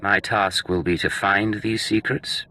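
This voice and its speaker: A man's voice: on the low side, fairly even intonation, unhurried, sharp tone, poor audio. A man playing a tough, defiant secret agent in a video game, speaking in a terse, cool manner. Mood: cool, guarded. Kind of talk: video game cutscene acting.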